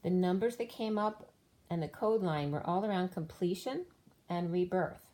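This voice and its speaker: A woman's voice: lowish voice, animated, moderate pace, mellow. A woman giving a solo spiritual tarot reading, explaining cards and numbers one by one while losing her voice. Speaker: tarot reader.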